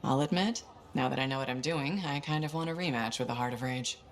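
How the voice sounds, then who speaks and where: A woman's voice: rumbling low, brisk. An actress playing a confident, easygoing female freelancer hero in a video game, with a casual, dry manner. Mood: reflective. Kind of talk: video game cutscene acting.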